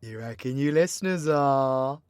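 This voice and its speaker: A man's voice: mid-range voice, a little slow, darkish tone, clean tone, dry recording, clean studio sound, natural voice. A man playing a cocky, foul-mouthed, playfully teasing supervillain mentor in an audio roleplay, with a British accent and a slangy, swaggering, theatrical delivery. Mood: teasing, secretive. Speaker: voice actor. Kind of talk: villain ASMR audio roleplay, addressing the listener.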